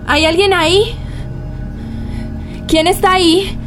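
An anxious young Colombian office secretary, acted by a woman in a radio drama. Her voice is high, with some music behind it. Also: steady tone, slow pace. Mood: frightened. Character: anxious young female law-firm secretary working late.

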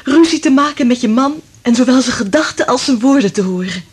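An actress playing an anxious, anguished wife with telepathic powers, speaking dramatically in a radio drama. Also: female voice, sits high, expressive, brisk, husky, full character voice. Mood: distraught.

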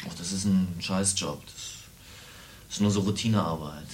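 An actor playing an eccentric, talkative cyberneticist and psychiatric patient in a radio drama, confiding in a rambling, hesitant way. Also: male voice, low-pitched, measured pace, a little hiss or hum. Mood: confiding, intense. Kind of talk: naturalistic radio drama dialogue.

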